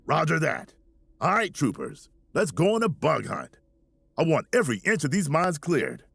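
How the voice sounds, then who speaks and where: An actor playing a confident, commanding infantry colonel in a military video game, with informal soldier talk. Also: male voice, a little high, huge pitch swings, normal speed, vocal fry, a bit bright, slightly rough, no echo, exaggerated voice. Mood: brisk, commanding. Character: gruff, confident mobile infantry colonel leading troopers.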